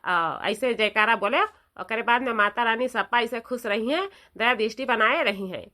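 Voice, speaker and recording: Woman as an emphatic, sharp-voiced older village mother-in-law. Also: on the high side, normal speed. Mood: proud, devout. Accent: eastern Uttar Pradesh. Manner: emphatic, repeats phrases, folksy lecturing. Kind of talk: comedy cartoon dialogue.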